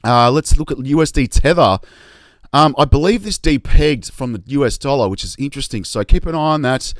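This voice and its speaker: An Australian man with a tired voice that is starting to go, talking in a chatty, excitable vlog style. His pitch sits in an ordinary middle range, and the recording is close and dry with almost no room sound. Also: fairly quick, no echo. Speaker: crypto YouTube host. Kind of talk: casual investing vlog chat.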